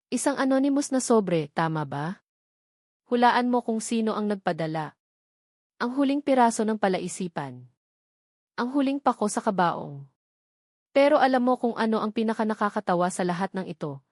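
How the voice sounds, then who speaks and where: A woman's voice: mid-range voice, expressive, moderate pace, pauses often, darkish tone, no room sound, studio quality, close to an everyday voice. A woman voicing a taunting, triumphant villainess in a dramatic romance story, mocking and cruel.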